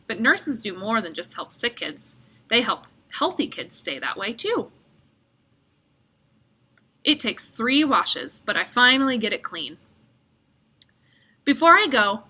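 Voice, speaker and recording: A woman reading a children's picture book aloud as an expressive storyteller. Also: mid-range voice, husky.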